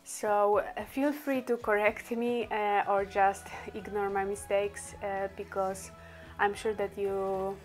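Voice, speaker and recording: Give me a woman, native Polish speaker, chatting casually with lots of ums and laughs over faint music. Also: on the high side. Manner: chatty, many fillers, laughs often. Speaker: beauty vlogger. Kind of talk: casual makeup tutorial.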